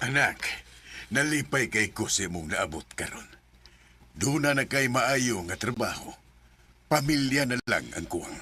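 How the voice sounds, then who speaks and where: A man's voice: mid-range voice, lively intonation, a little slow, bright, forceful tone, slight background noise. An actor with a Cebuano Filipino accent playing a protective middle-aged father in a radio drama, stern but loving and earnest. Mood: tender, concerned. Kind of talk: Bisaya radio drama acting.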